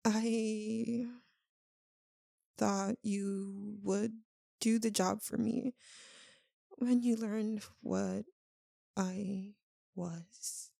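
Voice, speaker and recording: A woman playing an anguished, lovesick vampire in an intimate ASMR roleplay, speaking softly and haltingly with emotion. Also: medium pitch, fairly even intonation, gravelly, dry recording, studio quality, heavily acted. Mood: tender, tearful. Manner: halting, hushed, emotional delivery with long pauses.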